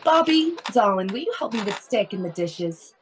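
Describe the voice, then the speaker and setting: A woman's voice: mid-range voice, sing-song, moderate pace, soft background music, close to an everyday voice. A woman playing a kindly, motherly wife and hostess in a radio play, homey and hospitable.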